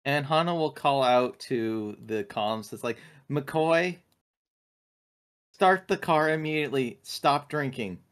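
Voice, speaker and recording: Mid-pitched man playing a roleplaying game. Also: takes it slowly, clean tone. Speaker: tabletop game player. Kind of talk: casual game chat with in-character calls.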